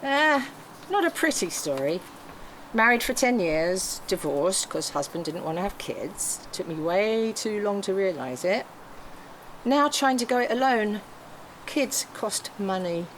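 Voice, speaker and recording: Blunt sardonic divorcée read by a woman, flirty and self-deprecating, animated pitch, table read with faint noise. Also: measured pace, natural voice, low-quality recording. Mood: resigned.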